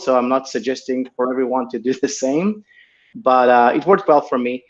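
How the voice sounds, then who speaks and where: A man in his forties from Israel with an Israeli accent, a company founder telling his story in a relaxed interview, with many fillers. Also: mid-range voice, highs cut off. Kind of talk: casual interview chat.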